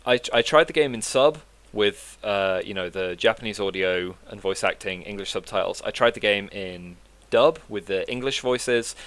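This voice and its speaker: A man with a lowish voice, relaxed and opinionated as he co-hosts a casual podcast. He lets the odd swear word slip, and his voice sits close and dry with no room sound. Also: no room sound. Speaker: podcast co-host. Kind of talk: casual chat.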